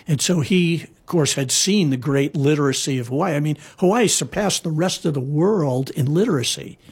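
A man's voice: on the high side, moderate pace, darkish tone, breathy edge. A man telling historical stories in a casual conversation, rambling with frequent fillers and restarts. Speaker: guest storyteller. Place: lives in Hawaii.

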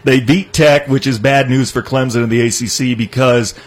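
A man hosting a sports talk show, running through college football games in a brisk, knowledgeable way. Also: medium pitch, steady tone. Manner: brisk and knowledgeable, runs the segment. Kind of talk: casual sports chat.

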